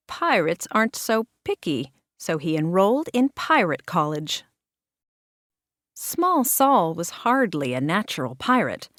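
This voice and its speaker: A woman reading a children's picture book aloud in an expressive, measured narration with deliberate dramatic pauses. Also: medium pitch, dry recording, clean studio sound. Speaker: children's storybook reader.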